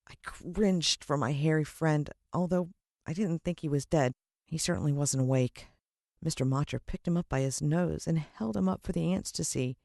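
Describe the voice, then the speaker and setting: Female American narrator for children's fiction: expressive, suspenseful storyteller, dry sound. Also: deep voice, normal speed, vocal fry, dry recording. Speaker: audiobook narrator.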